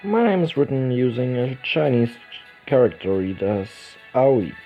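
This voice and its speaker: Slow-reading man over game music. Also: medium pitch, sing-song, vocal fry. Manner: reads narration slowly with small stumbles and restarts. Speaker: let's play host. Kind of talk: reading a visual novel's text aloud. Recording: dull lo-fi recording with game music behind.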